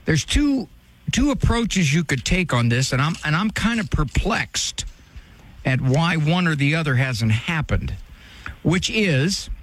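A man's voice: a little high, very animated, unhurried, fairly bright tone. A man hosting an advice call-in show, talking directly and firmly and pressing the caller with pointed questions. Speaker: radio advice host.

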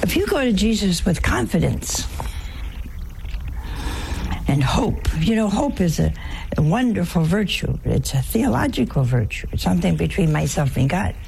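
A hoarse older woman hosting a TV program, teaching on faith in a folksy, casual way with chuckling asides. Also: lowish voice, very animated, a little mellow, slight background noise, rough recording. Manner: folksy, chuckling asides, slow conversational delivery. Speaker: TV show host, nun.

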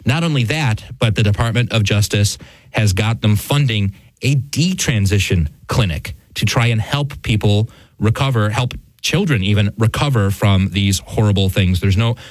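A man's voice: on the low side, normal speed, no echo. A man co-hosting a news show, chatting casually about headlines in rambling starts and restarts. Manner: talks in rambling restarts, chuckling.

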